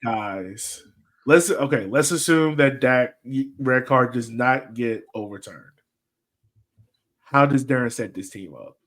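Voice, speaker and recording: A man chats as a sports podcast host with a medium-pitched voice. He talks informally and works out his points aloud in a loose, rambling way. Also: slow, a bit bright. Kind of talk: casual soccer fan chat and analysis.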